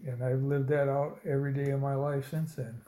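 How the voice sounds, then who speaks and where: A man in his seventies from Indiana, telling his life story slowly in an oral history interview, with many pauses and fillers. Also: medium pitch, level tone, mellow, echoey room, slight background noise. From Indiana, United States. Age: 70s. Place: old building, a former dormitory.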